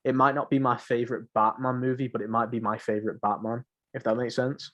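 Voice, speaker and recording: A man's voice: medium pitch, little pitch movement, brisk, fairly bright tone. A young man co-hosting a podcast in casual, rambling, informal chat. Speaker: podcast co-host. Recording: muffled lo-fi home recording.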